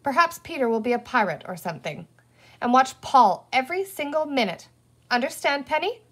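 A woman voicing a busy, fed-up mother in a story, firm, brisk and insistent. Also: high voice, sing-song, pitched above the performer's own voice.

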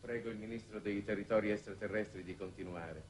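An actor playing a grave, authoritative foreign minister with formal, measured oratory in a 1960s Italian television drama. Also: male voice, on the low side, fairly even intonation, a little hiss or hum, lightly acted. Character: grave, authoritative foreign minister chairing a space war council. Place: television studio set. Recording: dated 1960s television soundtrack.

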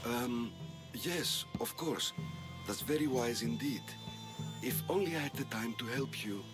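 An actor playing a feeble, fearful elderly temple priest in a video game, hesitant and reverent, speaking like an old storyteller. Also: male voice, mid-range voice, animated, measured pace, lightly acted. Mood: nervous, furtive. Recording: music behind the voice.

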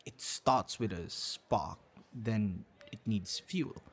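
A man's voice: on the low side, husky, heavily acted. A man acting a tender, secretive young man on a date in an ASMR boyfriend roleplay, easily flustered. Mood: tender, poetic. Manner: slow, intimate, with halting stammers when flustered.

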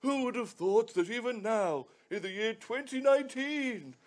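A man's voice: expressive, normal speed. Man doing a pompous old Father Christmas. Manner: booming theatrical delivery with ho ho ho. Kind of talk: live radio play reading. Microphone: headset.

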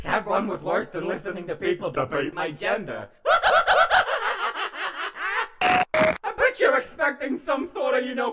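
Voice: medium pitch, a bit bright, echoey room, slight background noise, heavily acted. An actor voicing a mocking, mischievous animatronic fox trickster from a game, taunting and cackling theatrically. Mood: sly teasing. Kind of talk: game villain voice lines.

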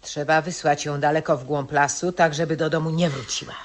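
A woman's voice: low voice, steady tone, a little fast, lightly acted. Woman acting a scheming wicked fairy-tale woman. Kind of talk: 1970s fairy-tale radio play acting.

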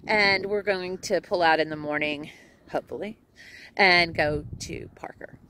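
A woman's voice: on the low side, a little slow, sharp tone, a little hiss or hum. A woman in her forties with a Texan accent, chatting casually to a phone camera outdoors at an RV campground, rambling and laughing often. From Texas. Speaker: RV travel vlogger. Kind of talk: casual selfie vlog chat. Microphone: phone camera at arm's length.

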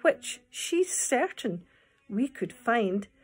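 A Scottish woman in her fifties talking and reading to young children at storytime, expressive, in simple phrases with questions to the listeners. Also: fairly high pitch, very slow, husky. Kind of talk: storytime talk and poem reading for small children. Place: small room at home, indoors. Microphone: phone or tablet at arm's length.

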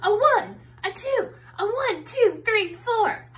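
A woman voicing an excitable sheep who fronts a farm-animal punk band in a storybook, rallying and showy. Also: very high voice, slow pace, pitched above the performer's own voice, full character voice. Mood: excited.

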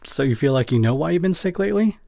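Man acting as anxious protective young boyfriend, ASMR roleplay, intimate, halting, breathy, swooping pitch, dry close sound. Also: lively intonation, dark tone, dry recording. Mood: worried, soothing. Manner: intimate, halting, breathy sighs, stammering when flustered.

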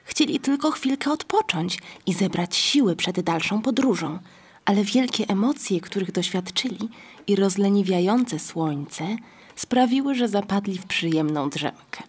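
A woman narrates a children's story from a script. Her voice is strongly husky and breathy, at a middle pitch. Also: expressive, unhurried.

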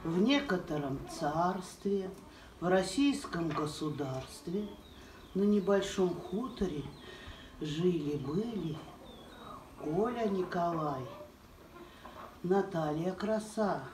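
An older woman tells a rhyming folk tale slowly, in a sing-song way. Her voice sits a little low. She is heard from a distance in a roomy kitchen on a noisy camera recording. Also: lively intonation, a little mellow. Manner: slow, sing-song fairy-tale telling. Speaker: storyteller, the uploader's aunt. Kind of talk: folk-tale storytelling in rhyme. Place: indoor kitchen, seen through a window. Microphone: far camera.

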